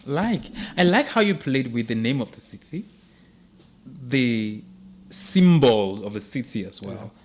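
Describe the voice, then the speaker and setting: A man hosting a podcast, chatting with his guest in a relaxed and encouraging way. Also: mid-range voice, measured pace, a little hiss or hum. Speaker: podcast host. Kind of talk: casual interview chat.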